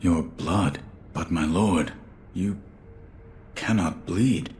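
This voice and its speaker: A man playing a terse, loyal shinobi in a video game, solemn and restrained, with a gravelly voice. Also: sits low, extremely slow, darkish tone, slight background noise. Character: stoic loyal adult male shinobi serving a young lord. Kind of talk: video game cutscene acting.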